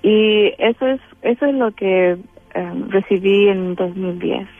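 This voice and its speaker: A woman's voice: medium pitch, slow, dry recording, faint music behind. A Chilean woman with a Chilean Spanish accent, a guest in a spiritual interview, who talks hesitantly with many fillers and restarts. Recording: tinny phone-line sound.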